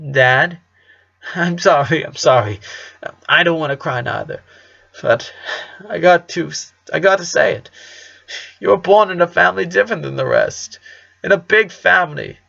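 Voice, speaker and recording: A man's voice: fairly high pitch, gravelly, a bit bright, pitched above the performer's own voice, exaggerated voice. A man voicing an emotional, pained father who pleads and breaks into a strained, cracking voice. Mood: tearful. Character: emotional working-class father, middle-aged man. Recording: dull lo-fi recording.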